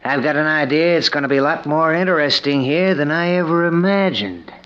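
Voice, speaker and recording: A man's voice: on the high side, brisk, natural voice. An actor playing an easygoing, slang-talking handyman in a 1940s radio drama. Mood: breezy. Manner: breezy, slangy. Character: easygoing young male handyman. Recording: dull old radio recording with music.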